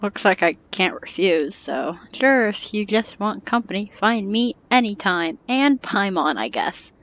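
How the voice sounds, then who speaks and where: A woman's voice: medium pitch, lively intonation, a little slow, a bit bright. A young woman giving casual, playful and wry commentary on a video game, flippant, on a dull lo-fi microphone. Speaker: gamer commentating on her playthrough. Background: game music.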